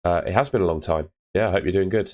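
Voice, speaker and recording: A young man chatting live with viewers on a stream, laid-back and chatty, his voice fading and hoarse. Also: deep voice, quick pace, darkish tone. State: losing his voice.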